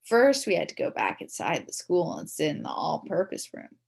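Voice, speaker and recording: A woman reading a children's story aloud in the first person as a kid, expressively, with dramatic emphasis and drawn-out words. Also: on the low side, huge pitch swings, vocal fry, darkish tone, breathy edge. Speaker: storybook reader.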